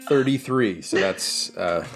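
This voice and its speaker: A man playing a tabletop role-playing game, talking casually as his character in a halting way, repeating words as he thinks aloud. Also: deep voice, expressive, over music.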